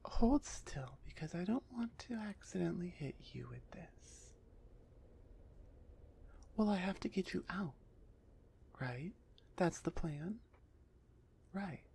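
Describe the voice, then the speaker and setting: A woman voicing a big, gruff female minotaur executioner with grudging, teasing menace in an ASMR roleplay. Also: on the low side, lively intonation, slow pace, pauses often, warm tone, a little hiss or hum, full character voice. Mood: gruff, commanding.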